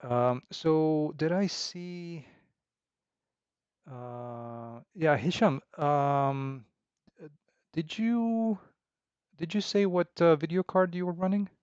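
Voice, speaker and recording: A man's voice: fairly high pitch, clean tone, no echo. Man chatting on a dev stream. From Romania. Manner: relaxed, halting, many fillers and restarts, chuckles. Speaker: indie game developer streaming. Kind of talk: casual livestream chat with viewers. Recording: dull, slightly lo-fi dry sound.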